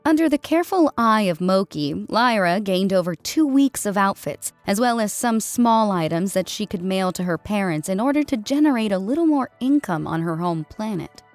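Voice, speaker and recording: A woman narrates a story in a scripted audiobook style. Her voice sits at a middle pitch, with faint music playing behind it. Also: lively intonation, moderate pace, hardly any pauses, no echo. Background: background music.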